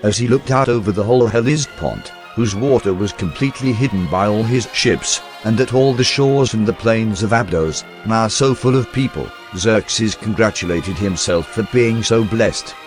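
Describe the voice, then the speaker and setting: Measured low-voiced male narrator. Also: soft background music. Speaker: reader of historical text. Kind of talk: scripted documentary narration.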